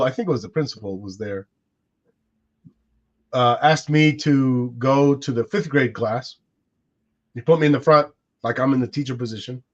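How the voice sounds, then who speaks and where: A man in his forties whose first language is Arabic, musing aloud in a casual podcast conversation with frequent restarts. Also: medium pitch. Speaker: co-host. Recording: dull lo-fi sound.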